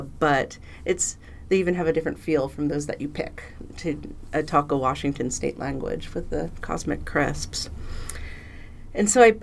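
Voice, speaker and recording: A woman with a low, full voice speaks as an interview guest. She is from Pennsylvania and talks in a chatty, eager way, with "uh" and "you know" all through it. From Pennsylvania, USA. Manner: chatty and enthusiastic, with frequent fillers. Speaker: interview guest, philosophy professor. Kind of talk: conversational interview.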